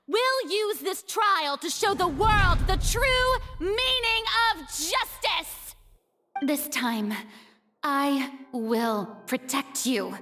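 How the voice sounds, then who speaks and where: A proud young female deity from a video game, in a high voice with a slow, measured delivery. She declaims like a stage star, bold and grandiose, with music playing behind her. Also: pushed and bright, heavily acted. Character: theatrical, proud young woman archon putting on a bold show. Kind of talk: video game character acting.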